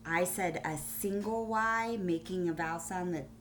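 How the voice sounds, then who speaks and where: A woman schoolteacher giving a phonics lesson to young pupils on video, explaining deliberately and spelling things out. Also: lowish voice, slight background noise. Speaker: primary school teacher.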